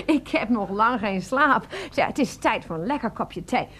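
A woman's voice: on the high side, animated, unhurried, fairly bright tone, breathy edge, exaggerated voice. An actress in a radio play, playing a middle-aged aunt who dotes on her nephew and fusses and scolds with many exclamations. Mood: flustered, coy. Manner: exclamatory, fussing, chiding.

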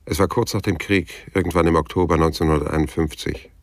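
Very deep, laconic detective narrator. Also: male voice, steady tone, gravelly, dry recording, studio quality. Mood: sober, reflective. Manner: measured, sober, laconic. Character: hard-boiled male private detective recounting his story. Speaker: narrator of a radio play. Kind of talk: first-person noir narration, radio crime drama. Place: dry studio.

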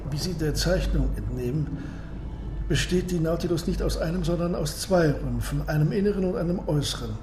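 Proud captain-engineer male actor, radio drama. Higher register, courteous, measured, secretive; echoey room. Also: close to an everyday voice. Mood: proudly explaining. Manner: measured, precise, formal. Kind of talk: German radio drama acting. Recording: roomy echo, clear noise behind.

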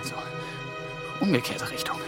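A man's voice: moderate pace, over music, natural voice. An actor playing a panicked man on the run in a radio drama, thinking aloud in agitated fragments and questioning himself. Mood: frantic, self-reproaching. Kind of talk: German radio drama monologue.

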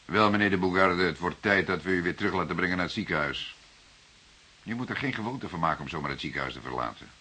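An actor playing a stern, formal police detective with a sardonic, probing way of talking in a radio play. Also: male voice, deep voice, lively intonation, quick pace, bright, forceful tone, slightly rough, exaggerated voice. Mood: measured, grave. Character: stern male police detective, patient but explosive interrogator. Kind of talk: Dutch radio play dialogue.

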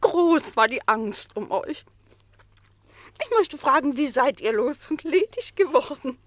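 An actress playing the fox's anxious, devoted wife in a radio play, soft and pious. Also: female voice, sits high, animated, measured pace, no echo. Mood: worried. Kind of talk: classic radio play acting.

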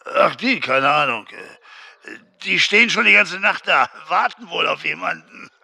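An actor playing a coarse, callous SS squad leader in a German radio drama, with a crude, derisive, barking delivery. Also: male voice, fairly high pitch, expressive, measured pace, vocal fry, sharp tone, full character voice. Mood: jeering.

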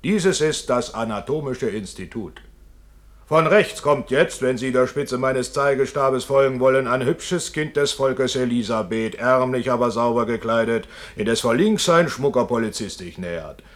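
A man's voice: mid-range voice, moderate pace, close to an everyday voice. A man playing a theatrical fairground ballad showman in a radio play, declaiming florid, courteous patter to a crowd. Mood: florid, explanatory. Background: music.

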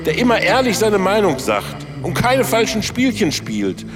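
A brash populist candidate in a radio satire. He speaks bluntly and colloquially, with a raised, animated voice. His words ring through a hall with music behind. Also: high voice, a little slow, exaggerated voice. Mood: defiant, frank. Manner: plain-spoken, colloquial, combative. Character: brash, blunt populist chancellor candidate and Schalke football fan. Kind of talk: radio satire political speech.

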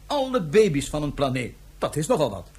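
A man's voice: a little high, wildly swooping pitch, brisk, slightly rough, full character voice. An actor playing a suave, mocking imperial ruler in a Dutch science fiction radio drama, theatrical and condescending in his ornate phrasing. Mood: taunting.

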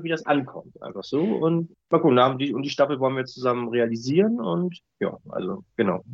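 A man's voice: medium pitch, dry recording. A middle-aged man answering interview questions casually as an author, rambling and repeating his words. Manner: rambling, repeats words, often tags sentences with "ne". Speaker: interviewed audio drama author. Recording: muffled lo-fi audio.

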